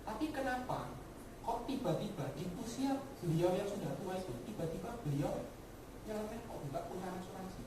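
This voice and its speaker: A woman's voice: medium pitch, lively intonation, normal speed. A woman giving a motivational business talk to colleagues, often addressing listeners directly with rhetorical questions and dropping in English words. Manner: mixes English words into speech. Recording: very echoey room, distant mic, faint noise.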